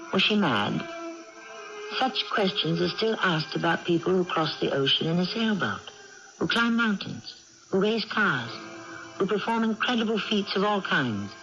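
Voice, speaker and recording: Animated, higher-pitched male radio host. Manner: deliberate, ruminative, rhetorical. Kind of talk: scripted narration to listeners. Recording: muffled old radio broadcast with music.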